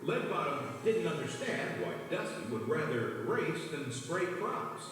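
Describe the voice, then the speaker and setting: A man's voice: fairly high pitch, very animated, measured pace, fairly bright tone. A man reading scripted narration for a children's read-along storybook. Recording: echoey, distant, long reverb, music behind.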